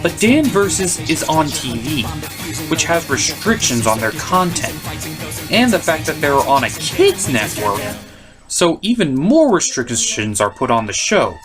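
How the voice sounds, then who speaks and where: A man's voice: a little high, big pitch swings, unhurried. A man giving a scripted, opinionated comedic review as a cartoon critic and host. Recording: music behind the voice.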